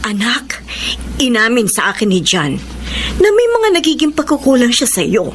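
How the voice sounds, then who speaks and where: A woman's voice: on the high side, big pitch swings, normal speed, a little hiss or hum. An actress playing a concerned older mother admonishing her grown daughter in a Filipino radio drama. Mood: stern, pleading. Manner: earnest, admonishing.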